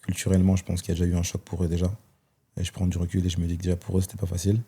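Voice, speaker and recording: A man, telling his life story as a guest, thoughtful and hesitant with many pauses and fillers. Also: deep voice, completely flat delivery, warm tone, breathy edge. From Belgium. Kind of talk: personal testimony in conversation.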